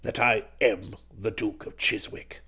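Guy doing a stuffy old english duke for an audiobook, low voice, clipped n formal, coldly polite and indignant. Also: fairly even intonation, a little slow, pushed and bright, breathy edge, heavily acted. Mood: icily calm. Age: older.

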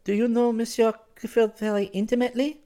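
Man voicing a shy young Frenchwoman, pitched high. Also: flat delivery, brisk, mellow. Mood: hesitant, secretive. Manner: formal, careful, hesitant phrasing. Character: young French woman, shy, secretive, feverish bohemian.

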